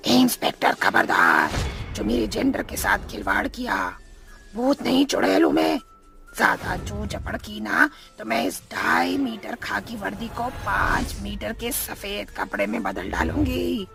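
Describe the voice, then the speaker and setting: A woman voicing a vengeful young witch ghost in a horror story, theatrical and taunting with creaky, drawn-out threats. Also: medium pitch, wildly swooping pitch, exaggerated voice. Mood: threatening. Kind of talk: Hindi animated horror storytelling. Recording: music behind.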